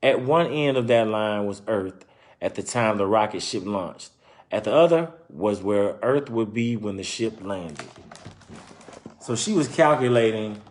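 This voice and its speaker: A man reading a children's book aloud, breaking off into informal, conversational explanations and repeating points. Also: fairly low pitch. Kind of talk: read-aloud with casual explanatory asides.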